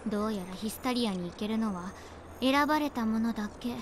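A woman playing a composed, mysterious young guide in a game cutscene, measured and matter-of-fact. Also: a little high, background noise, lightly acted. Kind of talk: animated game cutscene acting.

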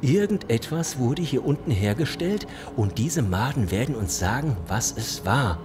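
An actor playing a menacing, condescending gang leader with mock-courteous threats in a German audio drama. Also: male voice, medium pitch, expressive, a little slow, music in the background, lightly acted. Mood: suspicious.

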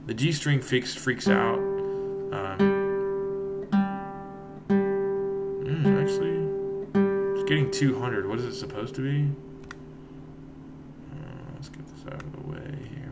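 A young man with a raspy voice, casually walking through code in a home screen-share tutorial, rambling and correcting himself, with guitar notes behind him. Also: lowish voice. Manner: rambling, self-correcting, relaxed. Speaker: coding tutorial host. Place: home room, indoors. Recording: guitar playing in background. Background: guitar plucks.

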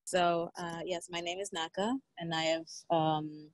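A woman in her twenties introducing herself informally on a video call, pausing often mid-sentence through an earphone mic. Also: on the low side, flat delivery, no room sound. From born in Egypt, lived in Israel. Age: 20s. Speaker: student ambassador. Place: seat on a train or bus. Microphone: wired earphone headset on video call.

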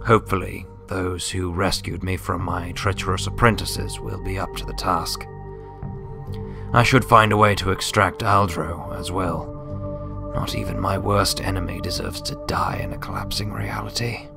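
A man voicing a grave, scholarly old male wizard pony reading out his journal in a formal, deliberate, subdued manner. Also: low voice, fairly even intonation, creaky, over music. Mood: grave resolve.

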